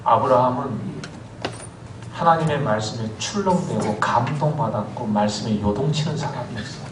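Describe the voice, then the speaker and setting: A man preaching a sermon as pastor, telling stories with lively swings of voice and calling for amens. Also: mid-range voice, noisy background. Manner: animated storytelling preacher, calls for amens. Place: church sanctuary. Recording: echoey church hall from far off.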